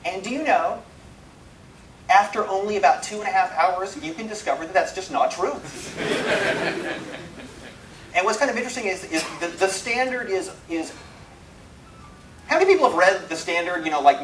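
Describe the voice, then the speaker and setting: A man with a slightly high voice delivers an informal programming lecture with dry, self-mocking humour. He speaks emphatically and pauses for effect. The sound is roomy and a little distant from the microphone. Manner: animated, self-deprecating, wry humour. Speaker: speaker giving a technical programming talk. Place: indoor talk room. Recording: roomy, distant mic, some background noise.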